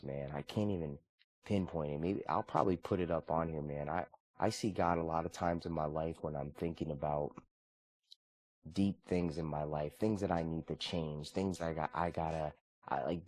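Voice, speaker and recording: A man in his thirties talking casually and earnestly about his morning routine and faith. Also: a bass voice. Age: 30s. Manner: earnest, relaxed, conversational with frequent restarts. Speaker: vlogger.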